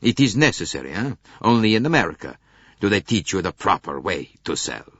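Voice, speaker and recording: A man's voice: deep voice, very animated, a little fast, a bit bright, slightly rough, no echo, heavily acted. A man voicing a beaming, voluble, good-natured Italian male salesman with a slight Italian accent who talks in an expansive, gushing way, on a dull lo-fi tape.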